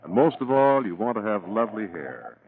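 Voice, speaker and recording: A man's voice: on the low side, sing-song, fairly quick, a little hiss or hum. A man working as a 1940s radio announcer, delivering advertising copy in a polished, emphatic way. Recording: muffled 1940s radio broadcast with music. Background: music.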